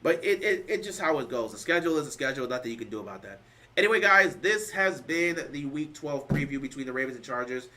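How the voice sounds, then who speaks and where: A man's voice: mid-range voice, expressive, fast. Tired, emphatic man talking football. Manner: emphatic, repeats points, rising to loud stresses. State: tired, losing his voice. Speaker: sports show host. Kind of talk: casual sports talk, answering live chat.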